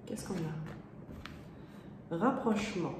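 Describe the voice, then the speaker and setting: A woman's voice: on the low side, drawn-out words. A woman with a cold and a stuffy nose, giving a casual, hesitant tarot reading full of fillers, often checking "d'accord?". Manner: hesitant, many fillers and rephrasings. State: has a cold. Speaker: tarot card reader. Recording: roomy echo with faint room noise.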